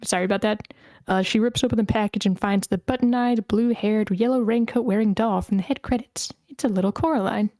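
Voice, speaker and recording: A woman's voice: mid-range voice, fairly even intonation, a little fast, dark tone, dry recording. A woman reading stage directions as narrator at a screenplay table read over an online call. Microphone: video call.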